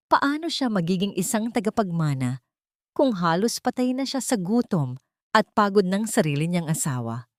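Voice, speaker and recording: A woman's voice: medium pitch, darkish tone, no room sound, clean studio sound. A woman narrating a scripted audio drama in a solemn, melodramatic storytelling manner.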